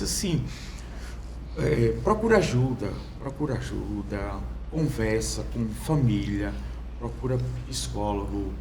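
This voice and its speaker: Young brazilian guy on a podcast, kinda gravelly, lots of ums and restarts, bit of background hiss. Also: medium pitch, darkish tone. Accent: Brazilian Portuguese. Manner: halting, many fillers and restarts. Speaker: podcast guest. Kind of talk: personal testimony in conversation. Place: podcast studio.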